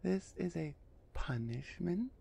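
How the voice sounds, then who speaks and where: A towering female minotaur executioner, acted by a woman for an ASMR audio drama. Her voice is husky and soft-edged, full of fry, and she talks at an unhurried pace. She is haughty and dismissive, with a stern, taunting edge. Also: lowish voice, lively intonation, heavily acted. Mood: proud, defensive.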